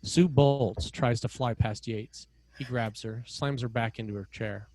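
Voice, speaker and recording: A man's voice: mid-range voice, fairly even intonation, measured pace, mellow, dry recording, rough recording. A man reading scene headings and stage directions as the narrator of a screenplay table read.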